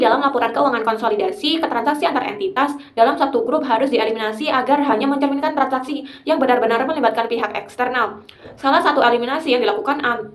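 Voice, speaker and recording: Young female student presenter, quick delivery, explanatory and partly read, recorded with noticeable room echo. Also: a little high. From Indonesia. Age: young adult. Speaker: university accounting student presenting. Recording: roomy echo.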